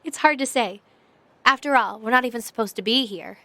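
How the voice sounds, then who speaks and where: A woman playing a composed, gracious young leader in an audio drama, measured and gently formal. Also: a little high. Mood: reflective.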